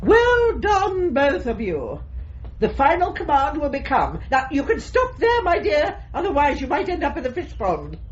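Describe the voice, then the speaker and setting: An actress playing a brisk, bossy, encouraging dog trainer with an emphatic, rallying manner in a gently comic radio drama. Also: female voice, high voice, wildly swooping pitch, muffled recording, a little hiss or hum, exaggerated voice. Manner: emphatic, rallying, fond of "my dear".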